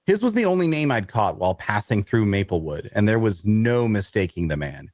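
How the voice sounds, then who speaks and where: A man's voice: lowish voice, sing-song, brisk, darkish tone, no room sound, studio quality. A man playing a wry, observant first-person narrator, reading descriptive, colloquial narration for a fantasy audio drama. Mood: admiring, wry. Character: observant, wry male storyteller in a fantasy tavern.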